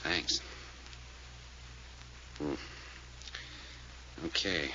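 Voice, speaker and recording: An actor in a 1940s radio serial playing a shrewd, determined detective leader, with an American accent and a clipped, deliberate delivery. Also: male voice, very deep voice, sharp tone, full character voice. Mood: deliberate, suspicious. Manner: clipped, deliberate, matter-of-fact. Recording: muffled, noisy old radio recording.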